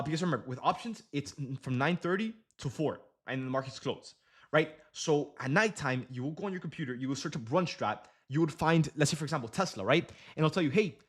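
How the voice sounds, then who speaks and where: A man's voice: on the high side, a bit bright, husky. A young man casually chatting on a trading livestream, slangy and loose, full of fillers and restarts. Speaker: day trading streamer.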